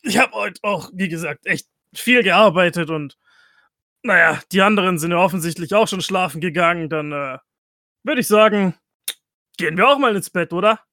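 A man's voice: high voice, moderate pace, pushed and bright, no room sound, clean studio sound. A man, chatting casually as a player in a tabletop role-playing game.

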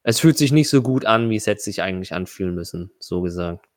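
A man's voice: sits low, lively intonation, fast talker, no echo, studio quality. A man running a tabletop game, improvising narration and rulings, often searching for his words. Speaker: game master.

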